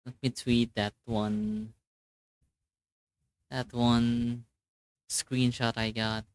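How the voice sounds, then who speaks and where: A man's voice: mid-range voice, level tone, very slow, clean tone, no echo. A man doing casual live game commentary, thinking aloud in an informal, exclamatory way. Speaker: streamer reacting to a game story.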